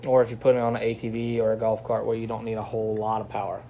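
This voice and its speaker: A man's voice: fairly low pitch, completely flat delivery, racing, dark tone, muffled recording. A man giving a casual, informal and enthusiastic hands-on product review. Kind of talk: casual unboxing and testing review. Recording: clear background noise.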